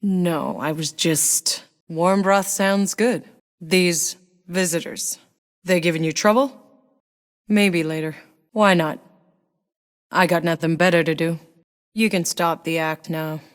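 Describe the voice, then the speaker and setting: A woman's voice: lowish voice, fairly even intonation, takes it slowly, pauses often. A woman playing a hardened, sardonic female soldier in a sci-fi video game, with a dry, blunt, clipped delivery. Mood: dry, guarded. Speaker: video game player character. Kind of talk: naturalistic game character voice lines.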